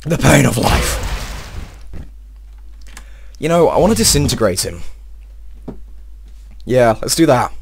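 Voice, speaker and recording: A young man giving casual, excitable let's-play commentary, swearing and talking to himself, his voice a little strained. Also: mid-range voice, takes it slowly, creaky, long pauses, a little hiss or hum. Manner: excitable, swears often, talks to himself while playing. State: slightly strained voice. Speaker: gameplay video host.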